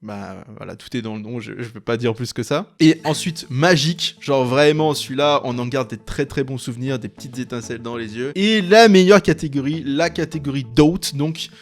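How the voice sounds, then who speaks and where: A man's voice: mid-range voice, normal speed, few pauses, faint music behind. A man in his late twenties hosting a video, chatting casually and explaining a tier list in an informal, rambling way. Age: late 20s. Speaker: YouTube host.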